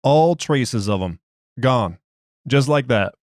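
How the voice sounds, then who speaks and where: A man's voice: medium pitch, expressive, takes it slowly, darkish tone, no echo, clean studio sound. A man voicing an agitated, streetwise prisoner who argues his case in slangy, ungrammatical, exasperated speech. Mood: agitated, insistent. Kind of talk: dramatized interview log.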